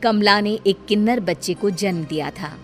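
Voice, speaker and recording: A woman narrating a cartoon horror story in a steady, plain storytelling manner. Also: medium pitch, normal speed, faint music behind.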